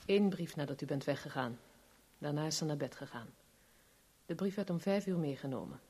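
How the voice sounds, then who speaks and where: A woman acting a protective, forthright letter writer in a 1970s Dutch radio play, with a soft, mellow voice. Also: deep voice, lively intonation, brisk, slight background noise. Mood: measured, warning.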